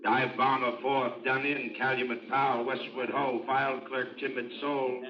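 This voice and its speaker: Echoey, distant racetrack announcer. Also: male voice, mid-range voice, level tone, normal speed. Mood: businesslike. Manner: clipped, list-like, matter-of-fact. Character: impassive racetrack results caller, adult man. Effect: loudspeaker. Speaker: race announcer. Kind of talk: announcing race results. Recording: echoey, distant, muffled loudspeaker sound.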